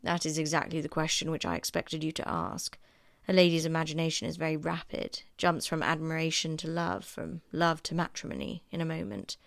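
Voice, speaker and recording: A woman voicing a proud, reserved young gentleman in an audiobook, with a clipped, formal, composed manner. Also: sits low, a little fast, gravelly, dry recording, clean studio sound. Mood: composed, dry. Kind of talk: audiobook character acting.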